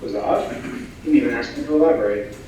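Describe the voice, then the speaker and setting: An actor playing a dutiful personal assistant, dry and deferential, in a staged comedy reading. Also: male voice, medium pitch, a little fast, mellow, lightly acted. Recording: very echoey room, distant mic, faint noise.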